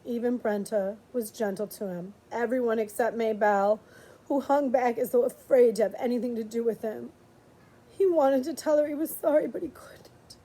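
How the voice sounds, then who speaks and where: A woman reading a storybook aloud in an expressive, dramatic way with drawn-out pauses. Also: on the high side, rough recording. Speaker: audiobook reader, librarian.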